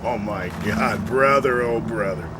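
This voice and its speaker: An actor playing a cynical, hard-drinking veteran police detective in a crime drama game, wry and needling. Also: male voice, on the low side, unhurried, background noise, close to an everyday voice. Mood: mocking.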